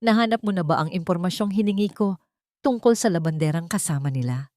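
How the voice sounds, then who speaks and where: A woman's voice: on the low side, expressive, brisk, mellow, no echo, studio quality, close to an everyday voice. A woman voicing a wealthy, solemn older father with grave feeling in an audio story. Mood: uneasy. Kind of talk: audio story character dialogue.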